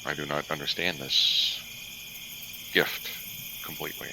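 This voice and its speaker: A player doing a gravelly, blunt were-tiger man. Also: very deep voice, fairly even intonation, a little slow, exaggerated voice. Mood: wary. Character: gruff male were-tiger warrior, blunt and direct. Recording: noisy.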